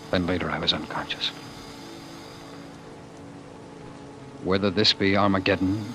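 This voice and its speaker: An actor playing a tender, desperate fugitive husband in old-time radio drama style, halting and stammering over his words. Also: lowish voice, natural voice. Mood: somber, bitter. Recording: noisy vintage radio drama broadcast.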